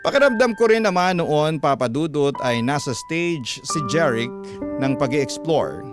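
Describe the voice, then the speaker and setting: A man's voice: a little high, expressive, measured pace, over music. A man with a Filipino accent narrating a scripted first-person story as a radio host in classic storytelling style.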